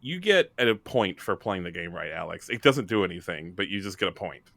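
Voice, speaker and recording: A man's voice: low voice, very animated, fairly quick, vocal fry, fairly bright tone, no room sound. A man in casual, jokey banter as a player on a tabletop roleplaying podcast. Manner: jokey, playful.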